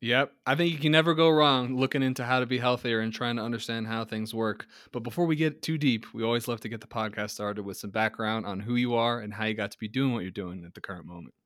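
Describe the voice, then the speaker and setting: A man's voice: mid-range voice, fairly even intonation, quick pace, hardly any pauses, a bit bright. A man hosting a podcast, interviewing a guest in a casual, conversational style. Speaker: podcast host.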